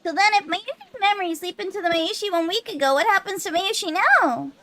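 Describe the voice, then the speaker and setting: A woman's voice: very high voice, brisk, sharp tone, voice pushed up. A woman voicing a naive, curious, childlike anime girl from a visual novel, with a cutesy, wondering manner. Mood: puzzled, curious. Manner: cutesy, wondering, speaks of herself in third person.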